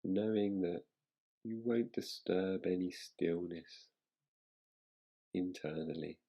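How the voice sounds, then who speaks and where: A man guiding a relaxation meditation as a teacher, speaking in a soothing, unhurried manner with long pauses. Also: low voice, creaky, dark tone.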